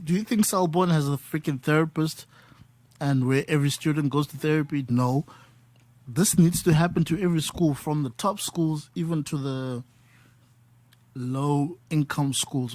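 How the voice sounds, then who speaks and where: A man's voice: fairly high pitch, darkish tone, no echo. A man in his thirties hosting a casual talk, emphatic and switching between English and isiXhosa. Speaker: podcast host. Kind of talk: casual conversation.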